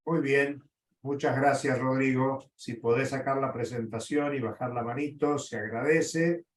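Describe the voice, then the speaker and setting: A man moderating an online class, brisk and encouraging. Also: mid-range voice, fairly even intonation, a little hiss or hum. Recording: roomy audio from a distant mic with faint ambience.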